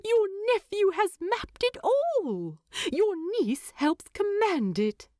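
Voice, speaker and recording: An eager, stubborn little hobbit gentleman, played by a woman narrator, talks in a high, wildly swinging voice. He rambles and hesitates as he argues his case in a storybook reading. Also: wildly swooping pitch, takes it slowly, dry recording, clean studio sound, exaggerated voice. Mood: insistent, hopeful. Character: excitable, talkative adult male hobbit, eager and stubborn.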